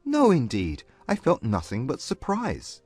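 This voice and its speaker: A man playing a proud but humbled gentleman suitor, earnest and formal, in a dramatized classic romance audiobook. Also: mid-range voice, huge pitch swings, breathy edge, no room sound, faint music behind, full character voice. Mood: earnest, reassuring. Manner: formal, measured period English.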